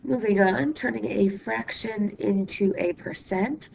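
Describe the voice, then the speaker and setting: Hoarse, gravelly woman math teacher. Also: medium pitch, darkish tone, slight background noise, low-quality recording. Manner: patient step-by-step explaining, asks "okay?"; writes while talking, pausing mid-sentence. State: hoarse, losing her voice from illness. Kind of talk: recorded classroom lesson.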